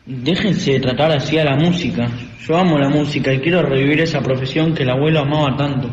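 A man's voice: on the high side, fast talker, close to an everyday voice. A young man playing an earnest teenage boy who longs to play guitar, in an amateur radio drama. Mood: pleading. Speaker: student actor in a school radio drama. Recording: echoey hall, muffled, faint noise.

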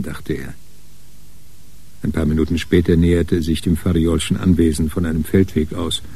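A man with a gravelly voice reading scripted narration for a crime radio drama. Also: very deep voice, mellow. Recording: clearly noisy background.

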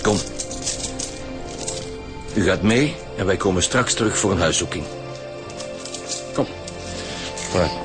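An actor playing an authoritative, wry Flemish chief homicide detective in a radio crime drama. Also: male voice, sits low, slow, pauses often, music in the background. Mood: businesslike.

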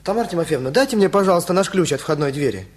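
A man's voice: a little high, animated, very fast, breathy edge. An actor playing a cocky, pleading teenage boy in a Russian radio play, with a sulky, casual manner.

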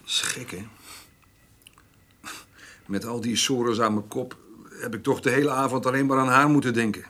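An actor playing a troubled middle-aged farmer in a radio play, husky, with hesitant, plainspoken speech that often restarts. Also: male voice, mid-range voice, steady tone, moderate pace, a bit bright, a little hiss or hum, lightly acted. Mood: weary, rueful. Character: troubled farmer, rough-spoken, brooding over his mother.

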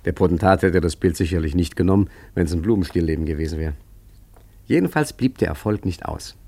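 A man's voice: sits low, brisk, vocal fry, mellow. An actor in a radio play playing an urbane art forger who tells his story in a chatty, wry, self-satisfied way. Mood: dry, amused.

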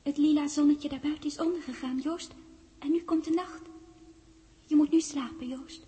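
An actress playing a gentle, eerie young woman in a 1960s Dutch radio play, hushed and dreamy, often slipping into a whisper. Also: high voice, steady tone, normal speed, lightly acted. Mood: soothing, dreamy. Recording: 1961 radio recording, music behind.

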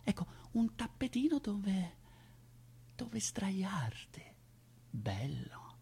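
A man's voice: high-pitched, big pitch swings, drawn-out words, gravelly, breathy edge, exaggerated voice. An actor playing a fussy, coaxing male know-it-all who stammers and insists as he bosses a child in a radio play. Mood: hushed coaxing. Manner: insistent, stammering, repeats words, swinging into hushed tones. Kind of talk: theatrical radio play acting.

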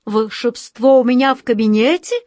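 A woman's voice: on the high side, steady tone, normal speed, a little mellow, no room sound, studio quality, pitched above the performer's own voice, close to an everyday voice. A woman voicing a nervous office director, a grown man who pleads and stammers in panic. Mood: panicky.

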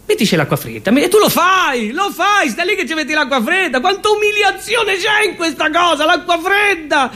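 A man's voice: very high voice, very animated, moderate pace, hardly any pauses, sharp tone. A man with a Roman accent performing a theatrical comic monologue, emphatic and repetitive.

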